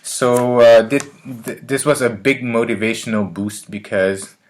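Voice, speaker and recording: A man talking casually to camera about learning languages, pausing often with fillers and restarting his sentences. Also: mid-range voice. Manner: hesitant, many fillers and restarts.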